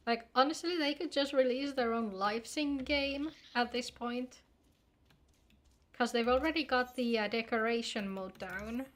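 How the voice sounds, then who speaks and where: A woman's voice: on the high side, fairly bright tone. A woman streaming a video game, chatting casually and playfully with her viewers, informal and free with swearing. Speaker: live streamer playing an online game. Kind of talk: casual gaming livestream chat.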